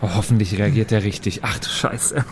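A man's voice: lowish voice, fairly even intonation, a little fast, background noise. A man talking casually as a player in an improvised tabletop role-playing game.

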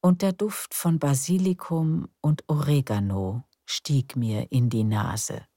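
A woman narrating a calm bedtime story in a slow, soft, soothing way. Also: sits low, dry recording, studio quality.

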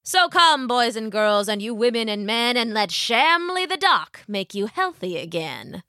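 A storybook huckster, acted out by a woman, pitching in a bright, sing-song patter. The delivery is theatrical and showy, swinging widely in pitch like a practiced stage salesman. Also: sing-song, measured pace, no room sound, studio quality, exaggerated voice. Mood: showy, inviting.

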